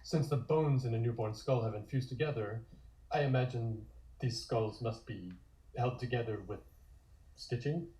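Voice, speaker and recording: A man's voice: medium pitch, moderate pace, dark tone, poor audio. A man narrating scripted scenes in the present tense for a comic table read.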